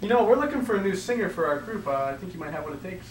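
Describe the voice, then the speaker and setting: A man's voice: high voice, animated, racing, slight background noise. A young man playing a breezy, persuasive bandmate in a student parody drama, talking in a casual, coaxing way. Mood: friendly, recruiting. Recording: very echoey room, far from mic, music.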